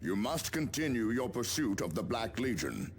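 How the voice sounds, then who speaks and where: An actor playing a grave space marine officer who gives formal, measured mission briefings in a video game. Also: male voice, mid-range voice, very animated, a little hiss or hum. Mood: earnest, concerned.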